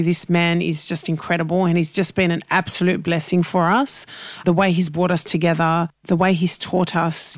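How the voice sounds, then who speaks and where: A woman hosting a podcast, talking in an effusive, praising, warm way. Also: on the low side, dry recording, studio quality. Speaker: podcast host. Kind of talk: casual podcast chat.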